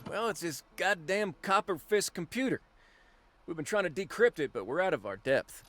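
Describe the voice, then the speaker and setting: Higher-voiced folksy lawman. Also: animated, normal speed, a bit bright. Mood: frustrated. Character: folksy, exasperated male lawman, blunt but easygoing. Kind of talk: video game dialogue.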